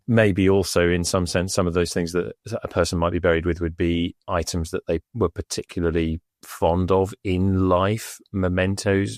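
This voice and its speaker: A man's voice: low-pitched, no echo, studio quality. A man, an Egyptologist co-host, explaining thoughtfully over an internet call with frequent fillers and restarts. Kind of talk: casual explanatory podcast chat. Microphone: remote over the internet.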